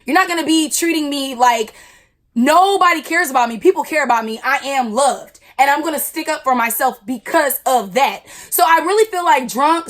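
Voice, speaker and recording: Blunt, loud young woman storyteller. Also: high voice. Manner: animated, blunt, slangy. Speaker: YouTuber. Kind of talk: storytime vlog.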